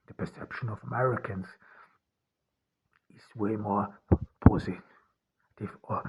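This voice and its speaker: A man from Austria, an author speaking in a personal podcast monologue, halting and often repeating and restarting his words. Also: lowish voice, a little hiss or hum, rough recording.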